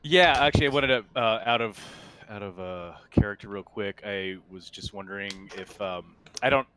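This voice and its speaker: A man running a tabletop roleplaying game as game master, thinking aloud in a halting, unscripted way. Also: lowish voice, pushed and bright, a little hiss or hum.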